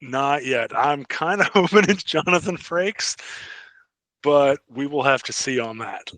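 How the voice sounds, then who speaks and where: A man's voice: on the high side, lively intonation, unhurried, a bit bright, no echo. A man co-hosting a podcast in casual fan chat, keen and full of his own opinions. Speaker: podcast co-host.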